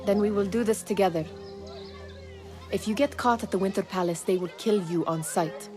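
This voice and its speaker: A woman's voice: medium pitch, fairly even intonation, normal speed, lightly acted. An actress playing a skeptical, loyal young woman thief in a video game, with a dry, chiding, formal manner. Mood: exasperated, chiding. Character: sharp-tongued, skeptical young female street thief, loyal companion. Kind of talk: dramatic video game voice acting. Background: music.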